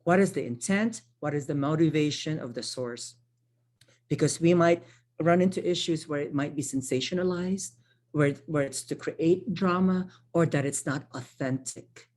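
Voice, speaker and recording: Low-voiced woman presenting a workshop. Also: warm tone. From Philippines. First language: Tagalog. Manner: conversational, frequent tag questions and self-corrections. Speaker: workshop presenter, social worker. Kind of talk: online training presentation.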